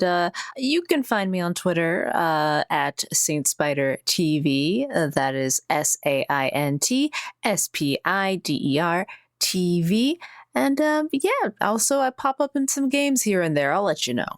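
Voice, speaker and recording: Dry, lower-voiced woman chatting at the game. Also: sing-song, measured pace, no echo. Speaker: tabletop roleplaying player. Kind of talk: casual game chat.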